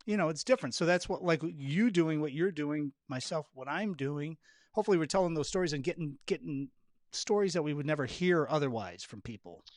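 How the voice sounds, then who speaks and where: A man hosts a casual interview podcast, talking conversationally in a slightly higher-than-usual voice. The sound is very dry, with almost no room around it. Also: lively intonation, brisk, no room sound. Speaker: podcast host.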